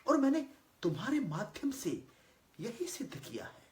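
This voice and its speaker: Guy acting as a solemn male god, high voice w big dramatic swings, declaiming a monologue in a roomy echo. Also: huge pitch swings. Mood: solemn, instructive. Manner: declamatory, deliberate, with weighty pauses. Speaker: monologue actor. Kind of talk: theatrical dramatic monologue in formal Hindi. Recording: roomy, echoing voice recording.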